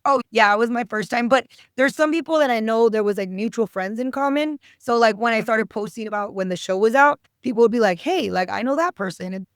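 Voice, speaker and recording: A woman in her thirties with a fairly high voice, chatting informally as an interview guest. She talks in short, chatty bursts and slips into quoted remarks. The sound is very dry. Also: a little fast, hardly any pauses, clear, resonant tone, no echo. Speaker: actor guest. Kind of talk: casual interview chat.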